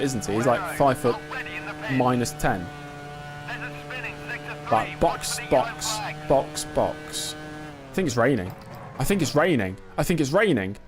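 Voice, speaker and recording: Chatty young man streaming a race game. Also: mid-range voice. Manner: chatty, joking and self-mocking; quickly excitable under pressure. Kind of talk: live gameplay commentary.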